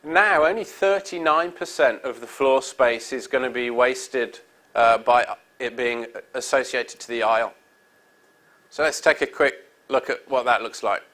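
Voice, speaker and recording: A man's voice: medium pitch, a little slow, a bit bright. A middle-aged man with a European accent giving a conference presentation on a hall stage, upbeat and salesy with frequent ums and uhs. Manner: upbeat salesy delivery with many fillers. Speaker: industry speaker.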